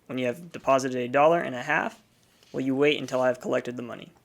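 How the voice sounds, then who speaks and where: An actor playing a brisk, formal telephone operator in a radio drama. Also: male voice, mid-range voice, vocal fry, lightly acted. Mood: businesslike. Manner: formal, businesslike.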